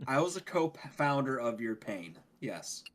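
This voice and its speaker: A man's voice: medium pitch, big pitch swings, unhurried. A man with a mid-range voice chats casually as a podcast co-host. He drops dry, joking remarks into the conversation. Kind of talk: casual chat.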